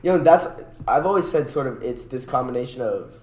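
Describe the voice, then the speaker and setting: A man's voice: mid-range voice, lively intonation, brisk, a little mellow. A man co-hosting a podcast, arguing his opinions in casual debate among friends. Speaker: podcast co-host. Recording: echoey room with background noise.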